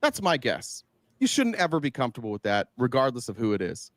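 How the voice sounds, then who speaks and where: A man's voice: mid-range voice, wildly swooping pitch, fairly quick, no room sound. A man giving casual political commentary, emphatic, throwing out rhetorical questions and swearing freely.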